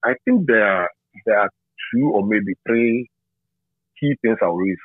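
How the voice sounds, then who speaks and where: A middle-aged Ghanaian man giving reflective interview answers, halting and repeating phrases for emphasis. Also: lowish voice, expressive, breathy edge, no room sound. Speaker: interview guest, media and marketing professional. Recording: tinny narrow phone-like line.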